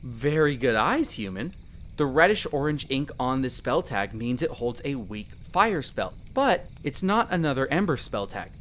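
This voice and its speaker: A performer voicing a young male elf explorer in a roleplay audio drama, patiently and gently coaching the listener as a magic teacher. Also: mid-range voice, slight background noise, natural voice. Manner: gentle, reassuring, coaching step by step.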